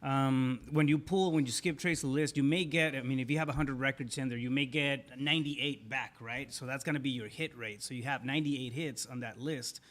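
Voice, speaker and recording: A man in his thirties co-hosting a studio show, calmly explaining terms step by step into a boom mic. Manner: explanatory, measured. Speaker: co-host.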